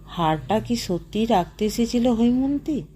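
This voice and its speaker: A woman narrating a short story as an audiobook, reading in a measured way and softly voicing the dialogue. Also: a little high, expressive, a little hiss or hum.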